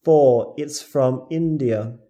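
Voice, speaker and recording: A man slowly reading English listening-test items with careful, deliberate enunciation. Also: mid-range voice, warm tone.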